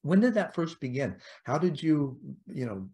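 A man's voice: on the high side, very animated, normal speed, mellow. A middle-aged man hosting a podcast, asking long rambling questions over a video call. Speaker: podcast host. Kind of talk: casual interview chat.

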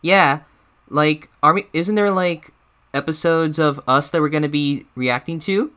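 A man's voice: fairly high pitch, unhurried, sharp tone, smooth. A man voicing an eager, deferential cartoon shadow bear sidekick in hesitant, improvised banter. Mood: curious, amused. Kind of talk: improvised character reaction banter.

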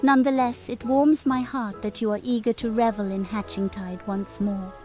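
Woman acting gracious fantasy game festival mistress, higher voice, gentle, dreamy, flowery delivery. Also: steady tone, moderate pace, soft background music, lightly acted. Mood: welcoming, touched. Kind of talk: scripted fantasy game dialogue.

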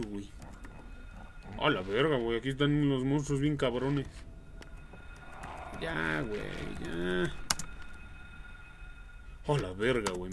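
A man's voice: mid-range voice, gravelly. A young man with a Mexican accent, giving profane, slangy, reactive live commentary on a horror game. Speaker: game streamer. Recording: noisy home livestream microphone.

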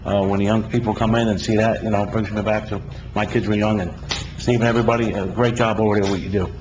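A middle-aged man, a councilman, giving informal, halting, complimentary remarks at a public meeting. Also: on the low side, little pitch movement. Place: indoor council chamber. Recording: slightly muffled, faint room noise.

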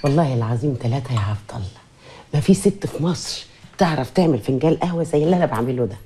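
A woman's voice: extremely low pitch, expressive, rapid-fire, mellow. An actress playing a devoted, fussing and outspoken woman with an Egyptian accent in a TV drama. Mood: affectionate, coaxing. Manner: coaxing, nagging, emphatic. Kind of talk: TV drama acting.

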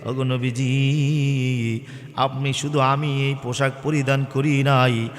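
A man delivering an impassioned Islamic sermon, half-chanting the story with drawn-out singsong phrases that swell into loud cries. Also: mid-range voice, level tone. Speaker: Islamic preacher. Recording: long hall reverb, faint music.

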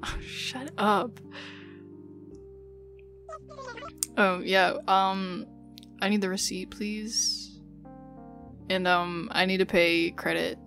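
Slow-paced, playful, casual young woman, acted by a woman. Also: mid-range voice, steady tone, creaky, fairly bright tone, soft background music. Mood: easygoing, teasing. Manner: informal, teasing, giggly, swearing. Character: playful, chatty, bossy young woman on a dinner date with an old friend. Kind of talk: casual girlfriend roleplay ASMR, one side of a conversation.

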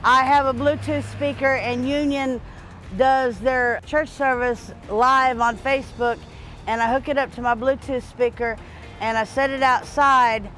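An older woman telling her story plainly and loudly in an outdoor interview, often repeating words as she starts a thought. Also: high-pitched, steady tone, measured pace, faint music behind, poor audio. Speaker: church volunteer. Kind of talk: personal testimony interview. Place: outdoors in front of a church.

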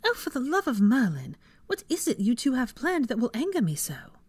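A woman's voice: high-pitched, wildly swooping pitch, normal speed, darkish tone, breathy edge, no room sound, voice pushed up, exaggerated voice. A woman voicing a hot-tempered young witch in an audiobook story, indignant, exasperated and emphatic.

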